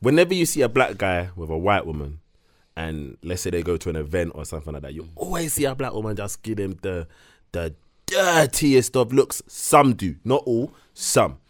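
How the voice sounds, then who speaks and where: A man's voice: deep voice, very animated, normal speed, dry recording, clean studio sound. A man co-hosting a podcast in casual banter with friends, speaking, teasing and laughing often. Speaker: podcast co-host.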